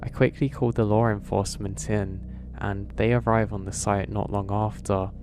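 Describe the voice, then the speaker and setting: A man narrating first-person horror stories in a steady, even storytelling read. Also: lowish voice, normal speed. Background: background music.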